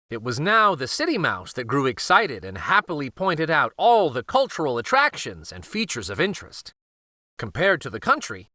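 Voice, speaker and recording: A man narrating a children's storybook aloud, expressive and careful, with dramatic pauses. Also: on the high side, no echo, clean studio sound.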